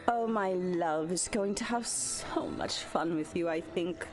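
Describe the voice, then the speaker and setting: A very slow, drawling, sadistic villainess. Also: female voice, medium pitch. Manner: mocking, drawling, theatrical. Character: gloating, sadistic villainess devoted to her dark lord. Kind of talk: audio drama acting.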